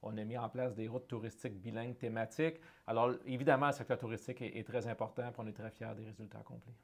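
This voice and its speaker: A man's voice: medium pitch, flat delivery, fast talker, slightly rough. Earnest middle-aged man, Canadian French accent, interview guest who restarts phrases while searching for words. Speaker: guest, head of a francophone economic development organization. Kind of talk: conversational interview.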